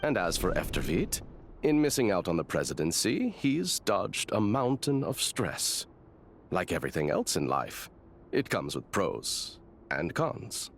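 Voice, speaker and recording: Smug chief mage, low voice, highly animated intonation, diplomatic, pragmatic, fantasy game. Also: male voice, measured pace, slight background noise, heavily acted. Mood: smug, airy. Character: smug, pragmatic male royal chief mage and university president. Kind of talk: dramatic video game voice acting.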